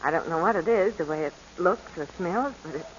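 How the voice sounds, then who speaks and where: A woman's voice: on the low side, lively intonation, a little fast, a little hiss or hum, natural voice. An actress playing an affectionate, spirited young American woman in love, in the style of 1940s radio drama. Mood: tender. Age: young adult. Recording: dull old radio recording with music behind.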